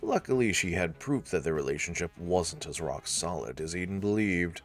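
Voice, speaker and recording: A man's voice: sits low, expressive, moderate pace, faint music behind. A man playing through a game with casual commentary, wry asides and read-aloud narration. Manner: laid-back, wry asides. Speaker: video game let's player.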